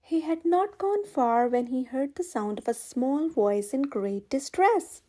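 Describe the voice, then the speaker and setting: A woman reading a picture book aloud as a children's storyteller, with expressive, deliberate phrasing. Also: high-pitched.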